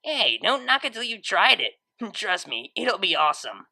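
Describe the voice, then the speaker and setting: Woman voicing a brash, creaky-voiced young unicorn DJ. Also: medium pitch, very animated, normal speed, pushed and bright, full character voice. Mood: upbeat, coaxing. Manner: casual, slangy, teasing.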